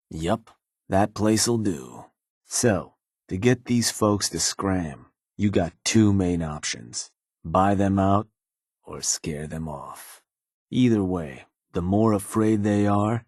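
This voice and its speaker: A man playing a gruff, shrewd raider strategist in a video game, with a husky voice and a laid-back, businesslike, colloquial delivery in clipped phrases. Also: sits low, darkish tone, no room sound, clean studio sound, heavily acted. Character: gruff, shrewd male raider strategist advising his gang boss. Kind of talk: video game character dialogue.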